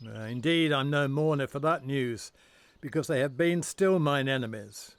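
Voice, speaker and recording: An actor playing a proud, overconfident lord in a Shakespearean audio drama, speaking in an emphatic, theatrical way. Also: male voice, mid-range voice, moderate pace, no echo, natural voice. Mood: defiant, gloating. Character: proud, overconfident English lord, scornful and loyal.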